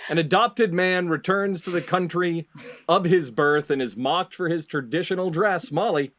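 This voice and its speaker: A man's voice: a little high, normal speed, a bit bright. A man hosting a quiz game, reading out clues like an announcer and repeating answers back amid casual banter. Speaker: game show host.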